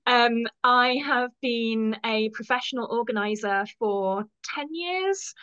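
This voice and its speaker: A woman's voice: fairly high pitch, a bit bright, low-quality recording. An English woman in her fifties with an English accent, chatting casually as an interview guest, friendly and self-deprecating with frequent ums. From England. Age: 50s. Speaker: guest, professional photo organizer.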